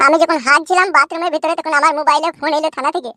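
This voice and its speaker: A performer voicing a cowardly, henpecked village husband in a cartoon who whines and stammers. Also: male voice, very high voice, fairly even intonation, very fast, heavy background noise. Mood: complaining. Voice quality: whiny, stammering.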